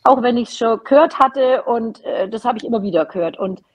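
A woman's voice: on the high side, lively intonation, fairly quick, breathy edge. A middle-aged woman chatting casually as an interview guest on a video call from a room at home. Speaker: podcast guest, teacher. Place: at a table in a room at home, indoors.

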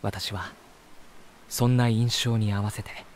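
A male actor playing an earnest, easily amazed travel reporter in a voice drama, gentle, polite and expressive. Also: lowish voice, slow, slight background noise. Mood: calm narration. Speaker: voice actor narrating as lead character. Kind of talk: first-person voice drama narration and dialogue.

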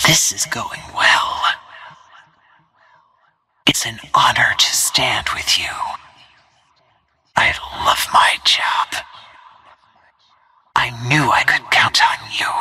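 An actress playing a cold, deadly, devoted female assassin in a video game, speaking in a menacing, controlled, breathy hiss. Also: very deep voice, sharp tone, heavily acted. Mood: satisfied, cool. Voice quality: breathy, hissing whisper. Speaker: video game character voice actor. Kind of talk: video game combat voice lines.

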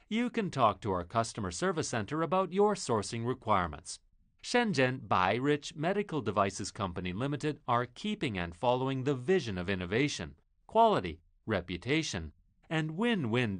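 Man, corporate promo voice-over, animated intonation, dry close studio sound. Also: mid-range voice, no echo. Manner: reads company facts and lists in a formal presentational tone. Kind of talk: scripted promotional narration.

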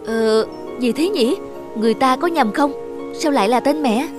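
A woman playing a modest, reluctant middle-aged single mother in an animated drama, speaking earnestly and tenderly. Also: high voice, normal speed, over music, lightly acted. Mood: flustered. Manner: earnest, protesting, tender with her child. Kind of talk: animated moral drama acting.

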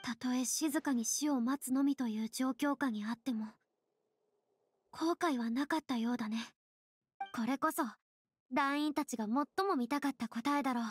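An actress playing a solemn, thoughtful young woman in a video game, speaking in a measured, dramatic and slightly lofty way. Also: high voice, slight background noise, lightly acted. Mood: tender, resolved. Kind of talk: Japanese video game voice acting.

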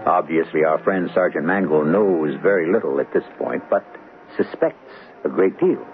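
Deep-voiced, theatrical radio drama host. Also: male voice, noisy background. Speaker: host and narrator. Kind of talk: 1970s radio drama scripted narration. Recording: tinny old radio recording.

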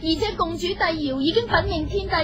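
A woman playing a tender, excitable young noblewoman in a radio drama, expressive and plaintive. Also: very high-pitched, quick pace, music in the background. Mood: concerned. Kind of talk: Cantonese radio drama acting.

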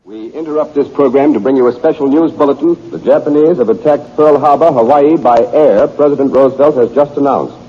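A man's voice: mid-range voice, fairly quick, few pauses, husky, in a large hall. A man reading an urgent 1940s radio news bulletin in a formal announcing manner, heard as an old muffled broadcast.

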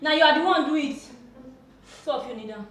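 A woman with a Nigerian Pidgin accent playing a loud, bossy housemaid who barks orders at the children. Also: sits high, fairly quick, heavy reverb, recorded from a distance. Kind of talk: comedy skit.

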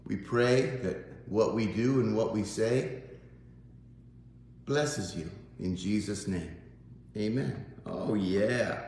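A man's voice: fairly low pitch, takes it slowly, pauses often. A man in his fifties with a Southern accent, a pastor giving an informal, folksy morning devotional to a phone camera in a small church sanctuary. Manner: folksy, conversational, animated. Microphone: phone camera on a tripod, close up. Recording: very echoey church room.

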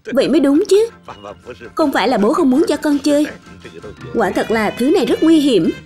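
A woman's voice: high voice, sing-song, normal speed, warm tone. A woman voicing a strict but caring father in a dubbed children's cartoon. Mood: caring, explaining. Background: background music.

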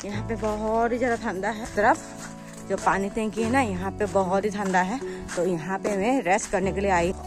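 A woman vlogger in her thirties speaks with a Northeast Indian accent at a middle pitch. She talks casually to her viewers, recorded outdoors on a phone, with clear music behind her. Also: fairly even intonation, normal speed. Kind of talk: casual vlog narration to viewers. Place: outdoors in a rural village. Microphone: phone at arm's length.

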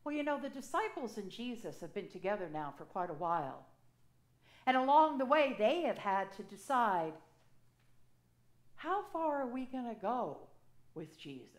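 A woman's voice: a little high, sing-song, moderate pace. Storytelling woman priest in echoey church. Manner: conversational, personal storytelling with gestures. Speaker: assisting priest. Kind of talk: sermon. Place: church sanctuary, at a pulpit. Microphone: gooseneck pulpit mic.

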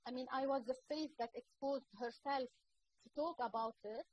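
High-voiced Lebanese-accented woman on a video call. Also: normal speed, breathy edge. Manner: earnest, many fillers and restarts. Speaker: panel guest. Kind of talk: panel discussion over video call. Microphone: laptop microphone on video call. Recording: muffled video-call audio with faint noise.

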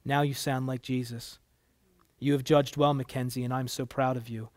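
Guy doing a wise holy lady judge for an audiobook, measured n firm, very dry sound. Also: mid-range voice, flat delivery, no room sound, natural voice. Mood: tender, proud. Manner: measured, solemn, unemotional. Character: calm, wise divine woman judge, firm and tender.

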